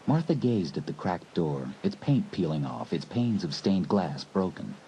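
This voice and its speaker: A man narrating a horror audiobook in a measured, brooding storyteller's manner. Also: deep voice, sing-song, warm tone, rough recording. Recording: hissy old cassette recording.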